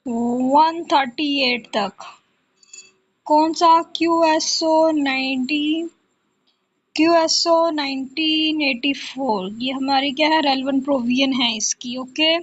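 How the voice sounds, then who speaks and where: High-pitched Pakistani woman giving a lecture. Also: no echo. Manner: repetitive, asks and answers own questions; switches between Urdu explanation and slowly read English. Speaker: law lecturer. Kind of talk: exam-prep lecture, reading out and explaining notes. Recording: dull, lo-fi close recording.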